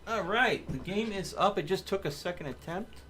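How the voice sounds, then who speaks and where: A man's voice: high-pitched, lively intonation, moderate pace, pushed and bright, a little hiss or hum. A man hosting a casual game livestream, chatting and thinking aloud in a rambling way. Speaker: livestream host. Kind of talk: casual chat while live streaming a video game.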